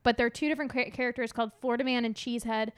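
A woman's voice: a little high, steady tone, very fast, smooth, no room sound. A woman in her thirties co-hosting a podcast, chatting casually and reading a listener's letter aloud, giggly and rambling with restarts. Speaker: podcast co-host.

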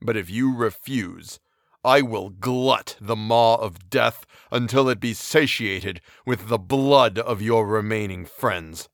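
A man voicing an anguished, towering male creature who speaks slowly and solemnly in an audiobook reading. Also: on the low side, fairly bright tone, no room sound, studio quality. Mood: bitter. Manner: slow, heavy, solemn delivery.

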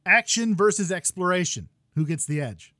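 A man in his thirties hosting a gaming podcast, ranting loudly with exasperation while losing his voice. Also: high voice, expressive, moderate pace, no room sound. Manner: loud exasperated ranting, swings to quiet muttering. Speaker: podcast host.

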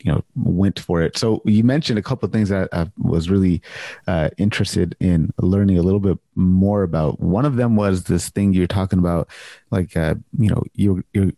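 A man's voice: low-pitched, normal speed, warm tone, dry recording. A man hosting a question and answer session in a casual, encouraging, informal way, often repeating his phrases. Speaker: host of a Q&A office hour.

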